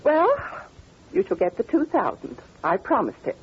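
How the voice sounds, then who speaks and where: An actress playing a haughty, amused older British duchess with a grand, theatrical manner, in 1940s radio style. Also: female voice, medium pitch, very animated, moderate pace, husky. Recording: tinny, narrow old radio recording.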